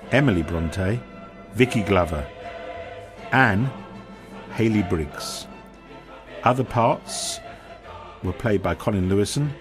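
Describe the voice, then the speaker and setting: A male radio announcer with a fairly low voice reads scripted credits at a slow, measured pace. Music plays clearly behind him.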